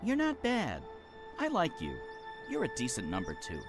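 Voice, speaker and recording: A man's voice: a little high, wildly swooping pitch, normal speed, music in the background. A man voicing a wisecracking talking crow in a video game, a cheeky but loyal sidekick with a sarcastic, chatty, theatrical way of talking. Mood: affectionate. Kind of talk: video game character acting.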